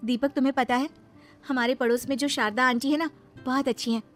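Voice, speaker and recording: A woman voicing a sweet young wife in an animated moral story, speaking in an earnest, confiding manner. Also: high-pitched, a little fast, faint music behind, pitched above the performer's own voice, lightly acted.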